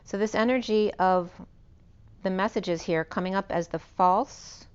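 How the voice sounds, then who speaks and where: A woman with a hoarse, fading voice giving an unscripted spiritual tarot reading, meandering and correcting herself as she lists cards and numbers. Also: medium pitch, unhurried, lo-fi sound. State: losing her voice. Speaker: tarot reader.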